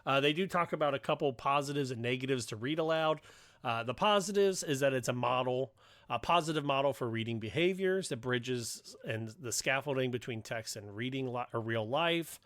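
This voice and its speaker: A man's voice: medium pitch, normal speed, fairly bright tone, dry recording. A man hosting a podcast discussion, moderating and talking through points with co-hosts. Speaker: podcast host.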